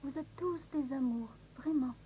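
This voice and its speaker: A woman's voice: high-pitched, a little slow, warm tone. A woman playing a sweet, polite young princess in a dubbed children's cartoon. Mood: grateful. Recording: voice over clear background music.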